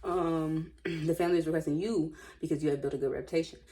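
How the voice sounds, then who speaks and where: A woman reading aloud and commenting casually, with an informal, opinionated, slangy way of musing out loud. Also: deep voice, steady tone, quick pace, poor audio. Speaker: host reading and commenting on online stories.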